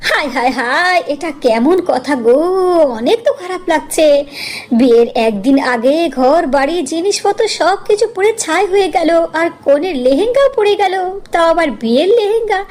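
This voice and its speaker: A woman's voice: extremely high pitch, expressive, normal speed, a little mellow, voice pushed up. A woman voicing a meddling, doom-saying neighbour in a cartoon, gossipy and discouraging with mock pity. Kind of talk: cartoon story character acting.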